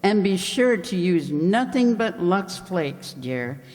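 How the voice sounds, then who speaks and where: A woman playing a kindly, practical aunt in an old-time radio commercial, gently instructive and reassuring. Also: on the low side, very animated, slow pace, big-room sound. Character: kindly, practical aunt giving household advice. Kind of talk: 1940s radio commercial acting.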